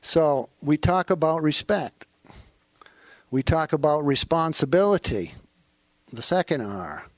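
Maine-accented man, panel speaker, unhurried and earnest, slightly high voice, dry sound. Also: expressive, no room sound. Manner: slow, earnest and reflective. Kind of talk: panel remarks.

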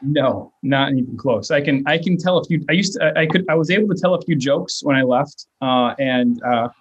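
A man's voice: mid-range voice, a little fast, breathy edge, muffled recording. A man in his thirties from the Virginia area, answering interview questions in a hesitant way with many fillers and restarts. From Virginia / West Virginia area. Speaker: interviewee, nonprofit leader.

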